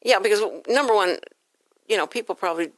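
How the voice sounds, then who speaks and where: A woman's voice: medium pitch, fast, dry recording, rough recording. A woman from Houston, Texas, hosting a casual radio interview in an effusive, rambling, anecdotal way. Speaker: talk show host. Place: radio studio.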